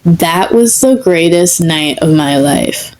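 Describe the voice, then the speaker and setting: Woman acting brash grown man, enthusiastic, showy, slangy, unhurried, story character. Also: on the low side, animated, darkish tone. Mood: impressed, upbeat.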